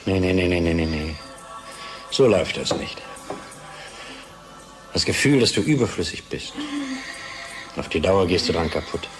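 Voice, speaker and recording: An actor playing a gloomy, disillusioned husband in a German radio play, speaking in an emphatic, brooding way. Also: male voice, deep voice, unhurried, long pauses, music in the background. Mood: agitated, bitter. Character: disillusioned middle-class husband, agitated and gloomy. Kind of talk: radio drama acting.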